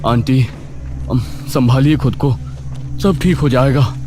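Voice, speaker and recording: A man's voice: medium pitch, unhurried, background noise, voice pushed up, natural voice. A man voicing an earnest young man who urgently helps his missing friend's mother. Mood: comforting. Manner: urgent, polite, stammers at times.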